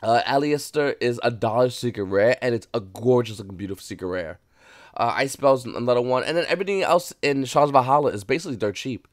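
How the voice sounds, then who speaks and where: A man of middle pitch keeps his voice lowered as he talks at home, in a dry, close sound with little room in it. His casual commentary is blunt and opinionated, with an occasional swear. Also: fast talker, dry recording. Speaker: card market commentator. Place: home, early morning.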